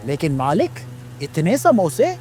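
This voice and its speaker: Man as a high-voiced doubting shop servant. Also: wildly swooping pitch, unhurried, a little mellow, soft background music. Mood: puzzled, doubting. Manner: deferential, questioning. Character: shop worker, obedient but doubting underling. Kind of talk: children's cartoon dialogue.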